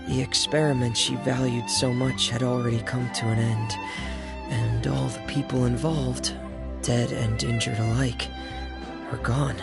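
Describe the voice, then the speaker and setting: A grave, loyal young man in dramatic video game dialogue. The voice sits at a middle pitch with a creaky edge, measured and earnest. Clear music runs underneath. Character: solemn, loyal young man raised in a strict orphanage. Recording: music behind the voice.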